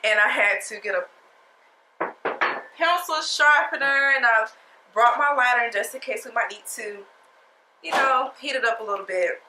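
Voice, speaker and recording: A woman's voice: fairly high pitch, normal speed, long pauses, pushed and bright, roomy sound, slight background noise, rough recording. A woman talking through a makeup tutorial in a casual, sassy, chatty way, her nose stuffed up from a cold. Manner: chatty, sassy, talks straight to viewers. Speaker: beauty vlogger.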